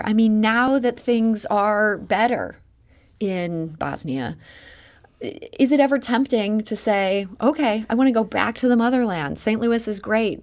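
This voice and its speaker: A woman hosting a public radio interview, asking questions with a lively, rising delivery. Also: medium pitch. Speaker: radio host.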